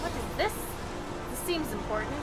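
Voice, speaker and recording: A woman's voice: high voice, expressive, moderate pace, over loud music. A young woman giving sarcastic, laughing commentary while playing a game with a friend. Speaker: let's play host. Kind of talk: casual gameplay commentary.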